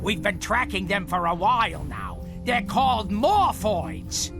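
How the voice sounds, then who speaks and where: A man's voice: sits high, big pitch swings, measured pace, full character voice. A man playing an earnest teenage boy hero in a cartoon game, with a serious manner. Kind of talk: animated game cutscene acting. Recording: game music behind the voice.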